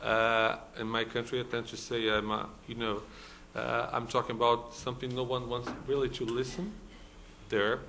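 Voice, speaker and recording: A man's voice: mid-range voice, little pitch movement, moderate pace, gravelly, pushed and bright, a little hiss or hum. A man from Brazil with a Brazilian Portuguese accent, a law professor speaking at a conference, hesitant, with many fillers and restarts. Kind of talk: conference talk. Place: conference hall.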